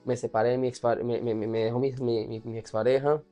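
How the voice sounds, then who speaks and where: A man's voice: mid-range voice, level tone, fast, warm tone, soft background music. A man with a Colombian accent telling his personal story casually and candidly as an interview guest. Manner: candid, often laughing as he talks.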